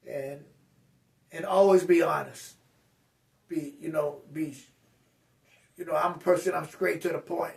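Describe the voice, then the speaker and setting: A man's voice: a little high, fairly even intonation, a little slow, creaky, pauses often, a little mellow, distant mic. A man vlogging a casual personal update to his viewers, with slurred speech and a rambling, straight-talking way of speaking full of fillers and restarts.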